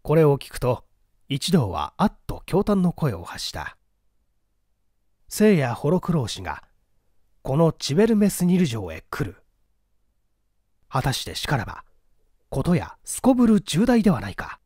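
A man's voice: a little high, huge pitch swings, unhurried, pauses often, a little mellow, breathy edge, no echo, clean studio sound. A man reading a classic mystery novel as an audiobook narrator, in formal, dramatic storytelling style.

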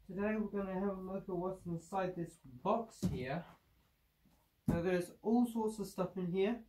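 A man's voice: high voice, measured pace, darkish tone, recorded from a distance, low-quality recording. A young man talks casually through a stuffy nose, rambling and filling gaps with ums. He sits back from the camera mic, and a faint hiss runs under his voice in a cluttered indoor room. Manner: chatty, rambling, frequent fillers. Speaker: vlogger. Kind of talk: casual unboxing vlog.

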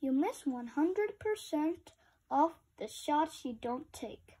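Female read-aloud host, high pitch, slow delivery, informal and childlike in commentary. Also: lively intonation, mellow. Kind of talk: reading a picture book aloud with casual asides to children.

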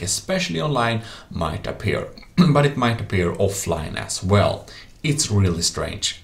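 A man narrating a step-by-step computer tutorial in an instructional style. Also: fairly low pitch. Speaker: tutorial host. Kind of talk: instructional screen-recording narration.

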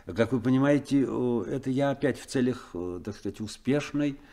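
A man's voice: medium pitch, normal speed, mellow, close to an everyday voice. An actor playing an earnest, principled male first mate who talks with hesitant fillers, in a noisy 1970s radio drama recording.

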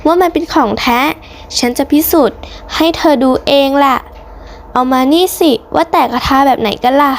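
A woman's voice: high voice, normal speed, slight background noise, natural voice. A woman voicing an anxious, bossy female schoolteacher in a cartoon story, emphatic and insistent. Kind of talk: hobby cartoon story dubbing.

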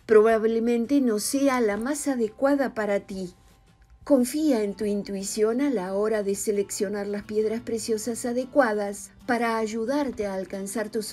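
A woman with a somewhat high but mellow, warm voice reads a scripted explainer in a calm, steady way. Her narration is a voice-over, with soft music running faintly underneath. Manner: calm, even advice-giving read. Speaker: channel narrator. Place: voice-over over stock footage. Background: soft background music.

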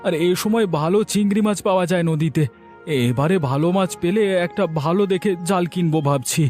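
A man's voice: high voice, fairly quick, darkish tone, close to an everyday voice. Man playing a hearty village fisherman dad. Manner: hearty, cheerful, proud. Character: good-natured village fisherman father. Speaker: voice actor. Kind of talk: cartoon dubbing. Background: music.

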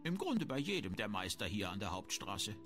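Shrewd, very animated fantasy merchant. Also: male voice, fairly low pitch, normal speed. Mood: businesslike. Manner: haggling, disdainful, self-important. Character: shrewd, grumbling, self-important male town merchant. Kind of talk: fantasy video game dialogue acting. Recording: music behind the voice.

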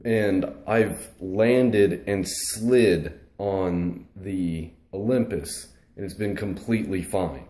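A young man answering viewer comments in a relaxed, rambling vlog chat, with frequent fillers and restarts, filmed on a webcam at his desk. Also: low voice, slow, hardly any pauses, reverberant. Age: young adult. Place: bedroom with shelves, indoors.

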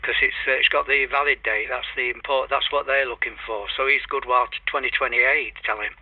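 A man's voice: medium pitch, fairly even intonation, brisk, husky, dry recording. An older man chatting in a hesitant, rambling way as an amateur radio operator. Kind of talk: casual radio chat. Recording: dull lo-fi radio link.

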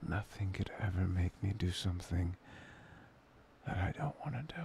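A young man playing a soft, playful, devoted boyfriend in an intimate ASMR roleplay, breathy, hesitant and often near a whisper. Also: low-pitched, lively intonation, normal speed, a little hiss or hum. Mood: tender, reassuring.

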